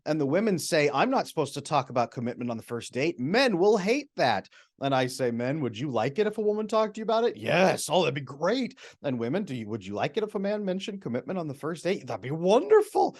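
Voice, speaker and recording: A man, a retired psychotherapist, speaking as a podcast guest and explaining at length, often acting out quoted people with sudden jumps up in pitch. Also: very animated, fairly quick. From America. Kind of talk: animated explanatory interview talk.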